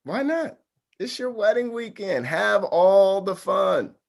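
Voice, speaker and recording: A man's voice: high voice, lively intonation, slow, gravelly, full character voice. A man acting in a table read as an easygoing but evasive young groom, hesitant and placating in a casual way. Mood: light teasing.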